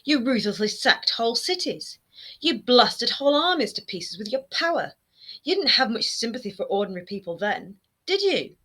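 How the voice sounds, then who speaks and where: A spiteful young man with a short fuse, read out by a woman, snapping and sneering in a raised, bright, forceful voice. Each line comes out hissed and cutting. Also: a little high, big pitch swings, moderate pace, voice pushed up. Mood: contemptuous. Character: spiteful young man, resentful and quick-tempered. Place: home room lined with bookshelves. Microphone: webcam framing, seated close to camera.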